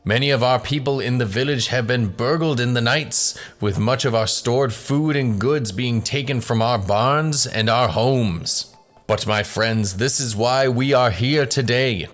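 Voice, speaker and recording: A man's voice: mid-range voice, a little slow, soft background music, close to an everyday voice. A man acting a solemn village patriarch who addresses gathered fighters in an oratorical way, in a fantasy radio drama. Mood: grave, rallying. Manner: oratorical, proclaiming.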